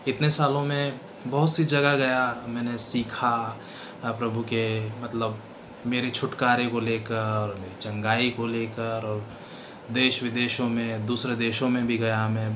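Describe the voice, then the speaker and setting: A man of 39 with an Indian accent gives an unscripted religious testimony, halting often and switching between two languages. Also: medium pitch, little pitch movement. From western Madhya Pradesh, India. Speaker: seminar participant giving a testimony. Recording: clearly noisy background.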